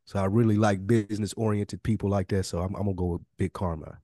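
A man's voice: fairly low pitch, fairly even intonation, fast talker, mellow, no room sound, studio quality. A man co-hosting a podcast in casual, colloquial chat, often repeating phrases for emphasis. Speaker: podcast co-host.

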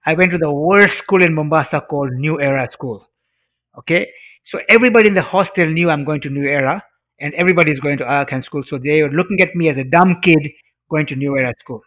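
Earnest middle-aged man, slightly high voice. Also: fairly bright tone. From Tanzania. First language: Swahili. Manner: earnest, emphatic, repeats phrases for effect. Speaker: interview guest, speaker. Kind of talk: personal storytelling in an interview. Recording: dull, lo-fi sound.

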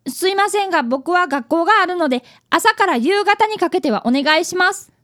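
A woman's voice: very high voice, lively intonation, moderate pace, fairly bright tone. A woman playing an earnest, polite boy of about eleven, an apprentice detective in a radio drama. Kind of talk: radio drama acting and narration.

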